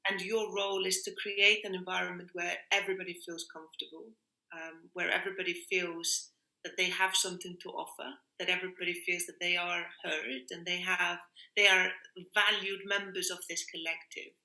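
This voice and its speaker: A woman talks in a very bright, forward voice with a noticeable room echo. She is earnest and reflective, feeling for the right phrase and restating it for emphasis. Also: lowish voice, flat delivery, a little fast. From Iceland. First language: Icelandic. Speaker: interviewed music workshop leader. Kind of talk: reflective conversational interview. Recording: roomy, echoing sound.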